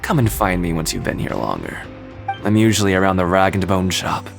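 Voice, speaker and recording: Shady game inmate: lowish voice, mocking, cryptic, slangy, faint music behind. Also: male voice, very animated, a little fast, gravelly, full character voice. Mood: dismissive, sly. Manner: chuckling, insinuating, slangy, calls others mate and fish. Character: sly, knowing male prison old hand, mockingly cryptic. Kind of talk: video game voice acting.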